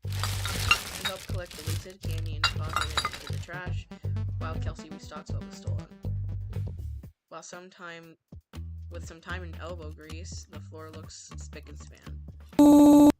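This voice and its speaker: A woman streamer reading a visual novel aloud in an expressive way, sometimes stumbling over the words. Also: low-pitched, unhurried, pauses often, over loud music. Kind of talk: live read-aloud narration with casual asides.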